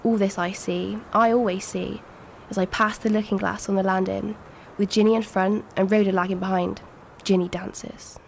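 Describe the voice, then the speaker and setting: A woman's voice: mid-range voice, flat delivery, creaky, a little hiss or hum, close to an everyday voice. Subdued, brooding country schoolgirl, played by a woman. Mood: wistful. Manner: subdued, serious, measured. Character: brooding, homesick country schoolgirl, plain and unshowy. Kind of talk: poetic audio drama monologue and dialogue.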